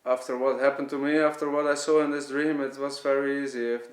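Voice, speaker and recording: A man with a Dutch accent, a native Dutch speaker, giving an earnest, unscripted personal testimony, often halting and searching for words. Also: medium pitch, level tone. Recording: echoey, roomy sound.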